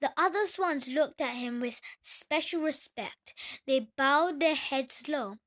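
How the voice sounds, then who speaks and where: A woman reads a children's tale aloud. Her voice sits high, and she takes the narration slowly, as in a read-along for young listeners. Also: fairly bright tone. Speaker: children's story reader.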